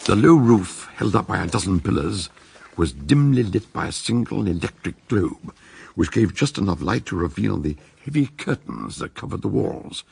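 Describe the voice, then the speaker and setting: An actor playing an eccentric older detective, narrating a radio drama in a gravelly voice with measured, theatrical storytelling. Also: male voice, sits low, very animated, darkish tone, exaggerated voice. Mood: dramatic narrating. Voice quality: very husky, gravelly. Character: eccentric older male detective recounting a case.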